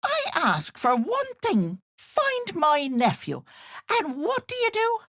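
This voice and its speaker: Sly old hag from a video game, sickly sweet but menacing, slow and wildly singsong, super dry sound. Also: female voice, very high voice, wildly swooping pitch, fairly bright tone, no echo, heavily acted. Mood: scornful, scolding. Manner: cloying endearments laced with threats. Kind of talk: video game character voice lines.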